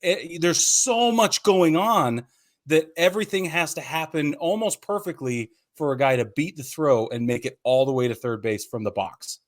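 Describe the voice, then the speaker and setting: A man's voice: fairly high pitch, lively intonation, normal speed. A man co-hosting a casual sports podcast, explaining his opinions point by point in conversational talk. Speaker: podcast co-host.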